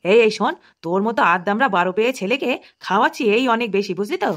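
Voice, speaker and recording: A woman's voice: medium pitch, lively intonation, fast talker, a bit bright. A woman voicing a doting but grumpy, foul-mouthed old grandfather in a comedy cartoon, with a blustering, coarse way of talking. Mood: scolding. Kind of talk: Bengali comedy cartoon dialogue.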